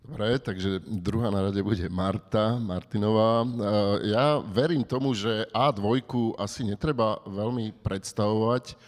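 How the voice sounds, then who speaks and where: Low-voiced Slovak man moderating a panel. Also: a little slow, few pauses. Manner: brief, hesitant introductions. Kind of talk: introducing panel speakers. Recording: reverberant hall.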